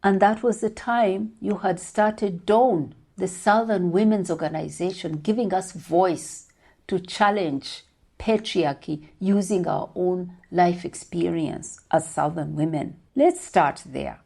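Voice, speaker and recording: A woman interviewer asking formal questions in a deliberate, respectful way. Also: lowish voice, gravelly, dark tone, husky.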